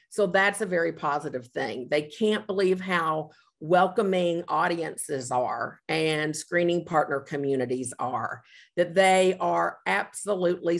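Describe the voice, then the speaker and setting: Low-voiced woman speaking earnestly on a panel. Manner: earnest, measured, frequent ums. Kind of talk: panel discussion.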